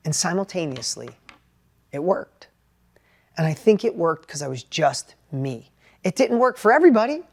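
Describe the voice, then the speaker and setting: A middle-aged man with a New York accent and a high-pitched voice, giving an animated, plainspoken motivational talk about sales. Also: normal speed, vocal fry, pauses often. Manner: animated, plainspoken, repeats and restarts words. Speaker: entrepreneur and sales educator. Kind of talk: motivational talk with personal story.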